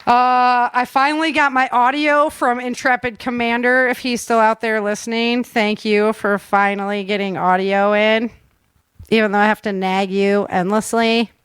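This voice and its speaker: A woman's voice: on the high side, fairly even intonation, moderate pace, fairly bright tone, smooth, dry recording. A woman hosting a podcast in casual, upbeat and informal chat. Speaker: podcast host.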